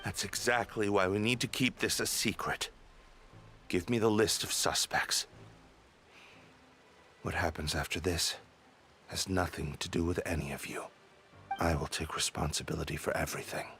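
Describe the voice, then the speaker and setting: An actor playing a loyal, vengeful patrol captain in a video game, intense and anguished. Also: male voice, sits low, moderate pace, pauses often, sharp tone, soft background music. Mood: grim, resolved. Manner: intense, pleading. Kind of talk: dramatic video game voice acting.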